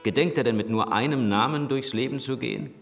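An actor playing a liberal priest and headmaster in a German radio drama, measured, articulate and paternal, gently reproving. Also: male voice, mid-range voice, vocal fry, soft background music, close to an everyday voice. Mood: disapproving.

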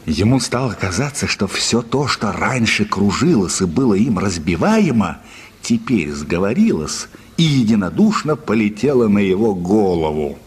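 A man's voice: on the low side, big pitch swings, normal speed, breathy edge. A man narrating a literary short story for a radio play in a dramatic storytelling style.

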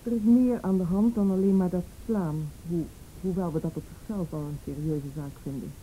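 Woman acting policewoman, radio play, low-pitched, very dark tone, blunt, insistent, measured, faint rumbling noise. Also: close to an everyday voice, poor audio. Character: firm, probing policewoman confronting a mother over child abuse.

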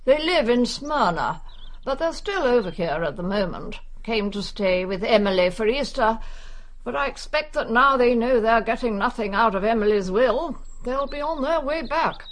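A woman's voice: a little high, animated, moderate pace, natural voice. An actress playing a nosy, brusque older English village woman in a radio drama, gossiping wryly. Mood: gossipy.

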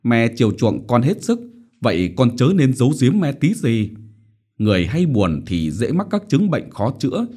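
A man voicing a doting, worried middle-aged mother who gently coaxes her daughter. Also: medium pitch, sing-song, moderate pace, slightly rough. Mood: coaxing worried.

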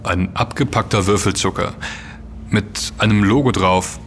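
An actor playing a young student hacker in an audio drama, casual and curious, often musing aloud. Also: male voice, lowish voice, unhurried, slight background noise, close to an everyday voice. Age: young adult. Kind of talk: German audio drama dialogue.

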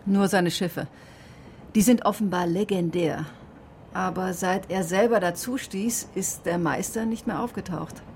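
A woman's voice: lowish voice, vocal fry, darkish tone, slight background noise. An actress playing a composed, probing woman in a naturalistic radio drama, speaking politely and persistently. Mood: matter-of-fact. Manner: polite, measured, persistent questioning. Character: composed, probing woman questioning an old man.

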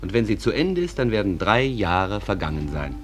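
An actor playing a wry, folksy stage manager who narrates town life conversationally to radio listeners. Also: male voice, fairly low pitch, sing-song, moderate pace, loud music behind the voice. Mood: wry, companionable. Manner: conversational, addresses listeners directly. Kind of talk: German radio play narration.

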